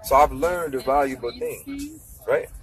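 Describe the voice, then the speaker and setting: A man's voice: on the high side, big pitch swings, unhurried, faint music behind, rough recording. A man in his thirties, ranting casually to camera with blunt, profane money advice and lots of repetition. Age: 30s. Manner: blunt, profane, lecturing, repeats himself for emphasis. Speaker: vlogger giving money advice. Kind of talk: casual motivational rant to camera.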